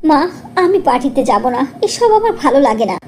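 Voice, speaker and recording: A woman's voice: sits high, sing-song, normal speed, a little mellow, a little hiss or hum. A woman voicing a meek, hurt young schoolgirl in a cartoon story. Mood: dejected.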